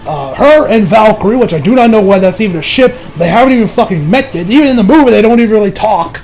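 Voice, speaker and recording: A young man giving a casual, unscripted movie review in an excitable, gushing manner with plenty of swearing. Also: high voice, fast, crowd noise, rough recording.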